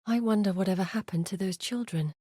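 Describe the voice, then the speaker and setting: A haunted, earnest woman from a fantasy video game, played by an actress. She speaks in measured, dramatic phrases at a middle pitch, with a wry streak under the gravity. The recording is dry and close. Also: warm tone, slightly rough, dry recording, lightly acted. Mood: somber, troubled. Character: Zana, grave adult woman guide to exiles, haunted yet wry. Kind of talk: scripted fantasy game voice acting.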